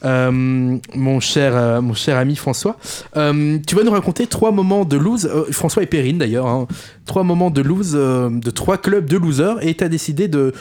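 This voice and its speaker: A man's voice: on the high side, normal speed, darkish tone. A man hosting a casual radio show, laughing often and hesitating with fillers.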